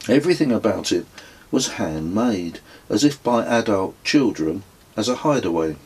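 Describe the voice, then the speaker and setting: A middle-aged man reading his own reflective travel memoir as an audiobook, in a measured, literary and wry manner. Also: lowish voice, lively intonation, breathy edge, low-quality recording.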